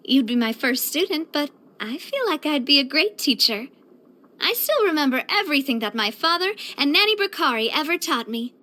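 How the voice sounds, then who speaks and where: A young woman voicing a cheerful, elegant young lady leader in a video game, earnest, chatty and expressive. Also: high-pitched, moderate pace, heavily acted. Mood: earnest, resolute. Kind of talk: video game character voice lines.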